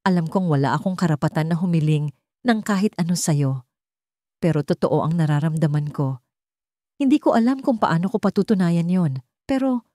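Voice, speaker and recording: A woman voicing a remorseful young man who pleads his love earnestly and haltingly in a romance story. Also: lowish voice, mellow, dry recording, clean studio sound, close to an everyday voice.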